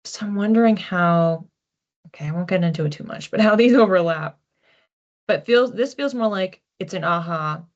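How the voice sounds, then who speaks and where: A woman with a fairly low voice talks through an oracle card reading as its host. Her delivery is informal and reflective, with lively asides. The sound is a little muffled and lo-fi. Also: moderate pace. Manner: conversational, reflective, animated asides. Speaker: psychic card reader, host.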